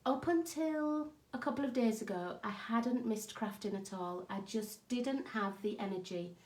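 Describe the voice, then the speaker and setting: A woman with a croaky, hoarse voice hosts a craft live stream, chatting and greeting viewers by name. Her voice sits fairly high for a woman, and the home craft room around her sounds echoey. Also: unhurried, a little mellow. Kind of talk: casual live-stream chat.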